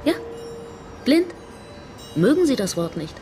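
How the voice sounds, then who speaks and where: Mysterious blind young woman, teasing, self assured, radio drama with loud music behind. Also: a little high, very animated, slow, full character voice. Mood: quietly insistent.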